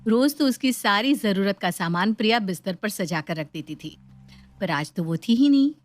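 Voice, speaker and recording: A woman's voice: medium pitch, lively intonation, moderate pace. A woman reading scripted narration as the storyteller of a domestic tale.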